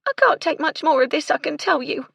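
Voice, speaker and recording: Young servant girl: high, loud, overwrought, blunt working-class talk for a storybook. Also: expressive, fairly quick, creaky, no echo, studio quality, full character voice. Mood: grumbling. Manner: ungrammatical, colloquial English servant speech. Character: distraught young working-class housemaid, tearful and outspoken.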